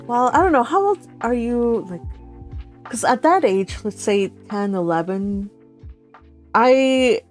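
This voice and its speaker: A woman hosting a read-along, talking casually about a book in a giggly, animated, rambling way. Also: medium pitch.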